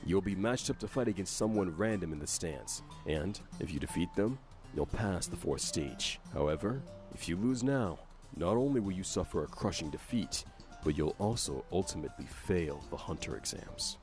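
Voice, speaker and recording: A man's voice: low voice, lively intonation, moderate pace, darkish tone. A man delivering scripted explainer narration for a video game update. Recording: faint music under narration. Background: faint music.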